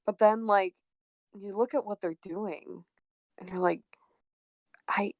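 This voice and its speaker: A woman in her twenties chats on a podcast, excitable and expressive, swearing now and then. Her voice sits at a middle pitch, and the sound is dry and close, with no room echo. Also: takes it slowly. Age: 20s. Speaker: podcast co-host. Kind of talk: casual chat.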